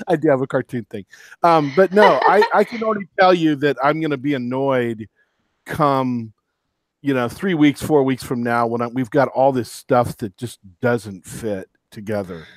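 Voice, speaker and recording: A man's voice: mid-range voice, normal speed, no echo. A man co-hosting a casual podcast, enthusiastic and rambling, often restarting his sentences. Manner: enthusiastic, rambling, self-interrupting. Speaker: co-host.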